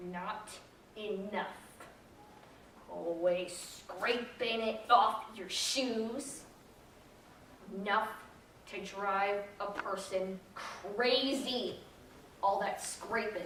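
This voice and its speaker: A woman's voice: medium pitch, fairly bright tone, recorded from a distance. A woman playing a weary, bitter small-town mother in clipped, dour phrases on stage. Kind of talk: readers theater monologue. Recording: echoey hall from afar, faint noise.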